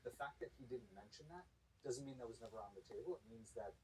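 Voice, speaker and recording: A man's voice: medium pitch, fast, warm tone, far from the mic, slight background noise, poor audio. A man giving thoughtful, slightly hesitant analysis as a foreign affairs commentator on a news panel.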